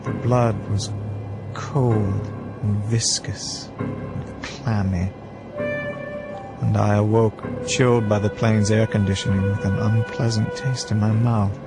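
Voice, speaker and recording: A man playing a weary, brooding first-person narrator, telling a story in a measured, reflective way. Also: lowish voice, flat delivery. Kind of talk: scripted story narration, hardboiled. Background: music.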